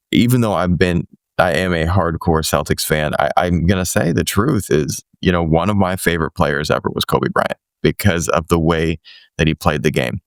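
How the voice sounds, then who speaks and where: Middle-aged male podcast host, smooth low voice, dry close sound, loose and rambling. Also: dry recording. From Boston area, USA. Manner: rambling, many restarts and "you know" fillers. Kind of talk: casual conversational interview.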